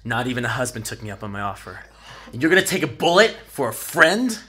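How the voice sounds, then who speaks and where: A man's voice: medium pitch, big pitch swings, fast, sharp tone, breathy edge, exaggerated voice. An actor playing a cruel, cynical male captor in an audio drama, preaching at and mocking his victims with menace. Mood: cold menace.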